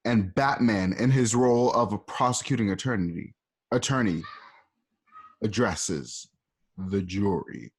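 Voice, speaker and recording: A man casually narrating the stage directions of an amateur radio play, chatty and animated. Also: on the low side, measured pace, breathy edge.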